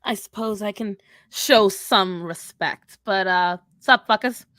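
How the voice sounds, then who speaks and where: A woman's voice: medium pitch, slow pace, a bit bright, dry recording, clean studio sound. A woman voicing a brash, cocky fantasy comic character with a snarky, haughty, taunting manner in an improvised live chat.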